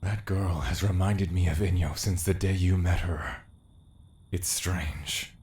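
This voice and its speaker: A man playing an ancient immortal being in an audio drama, wistful, devoted and solemn, speaking in breathy half-whispers. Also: low voice, fairly even intonation, a little slow, darkish tone.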